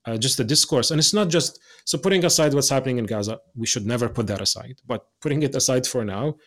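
A young adult man from the West Bank, speaking as an interview guest in an earnest, passionate way, often restarting and dropping to quiet asides. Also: medium pitch, quick pace. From West Bank, Palestine. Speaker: podcast guest.

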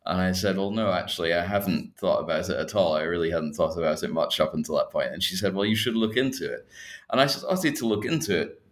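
A man from Scotland in his thirties, a historian speaking as an interview guest, with many pauses and fillers. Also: low voice. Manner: hesitant, frequent fillers. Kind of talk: academic interview.

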